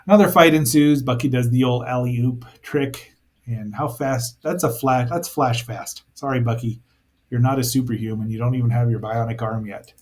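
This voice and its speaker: A man's voice: mid-range voice, normal speed, darkish tone. A man hosting a casual review show, rambling and thinking aloud with playful asides as he comments on a cartoon.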